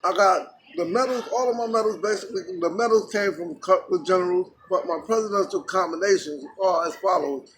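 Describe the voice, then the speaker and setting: A man's voice: sits high, a little fast, fairly bright tone, slight background noise, low-quality recording. A man in his sixties, a Marine veteran guest, speaking earnestly and giving advice in a casual interview outdoors. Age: 60s. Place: outdoors in a city.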